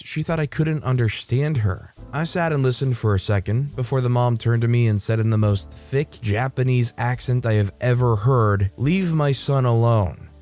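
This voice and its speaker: Male story narrator, mid pitch, even scripted read, music bed. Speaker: channel narrator. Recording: faint music under voice.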